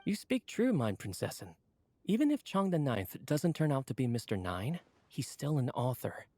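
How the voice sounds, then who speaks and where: A man playing a courteous, earnest book editor in a video game, explaining things in a measured, formal way. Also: mid-range voice, very animated, mellow, no room sound. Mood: earnest, explanatory. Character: polite, earnest, wordy male book editor. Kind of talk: video game character dialogue.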